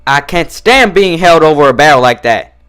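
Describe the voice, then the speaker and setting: A man puts on a feisty young country mare from a cartoon fan story. She speaks in a folksy Southern American drawl, raised in pitch and very animated. Her words come out plain and emphatic. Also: moderate pace, clean tone. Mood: angry, exasperated. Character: feisty young female country farm pony, plain-spoken, stubborn. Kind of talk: cartoon fan fiction character reading.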